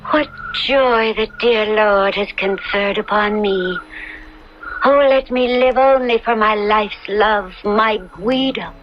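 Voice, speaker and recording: An actress playing an innocent, lovestruck young noblewoman, breathy and rapturous, in a 1970s radio melodrama. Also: medium pitch, takes it slowly, dull audio, lightly acted. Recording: band-limited 1970s radio with music.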